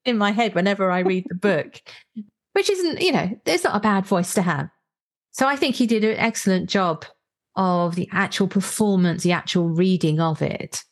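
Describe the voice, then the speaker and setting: A woman's voice: mid-range voice, lively intonation, moderate pace. A middle-aged British woman hosting a podcast, chatting conversationally as she reviews books. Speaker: podcast host. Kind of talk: conversational book review discussion.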